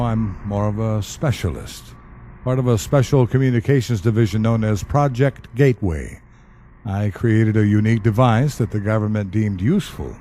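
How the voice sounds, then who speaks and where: An actor in an audio play as a calm, wry government specialist, speaking in a measured, matter-of-fact and dryly polite way. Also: male voice, fairly low pitch, a little hiss or hum, close to an everyday voice. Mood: wry, explanatory. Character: calm, wry male government specialist who questions the dead. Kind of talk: audio drama acting.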